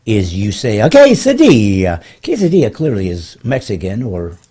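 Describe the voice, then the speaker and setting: A middle-aged man with a husky voice runs his comedy podcast in a wisecracking, theatrical way. His pitch leaps around as he plays up each bit, and he drops into sarcastic asides. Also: huge pitch swings, moderate pace, dark tone.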